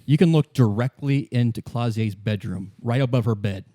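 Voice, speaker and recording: A man narrating an improvised tabletop game as game master, in a halting, conversational way. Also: mid-range voice, warm tone, no room sound, studio quality.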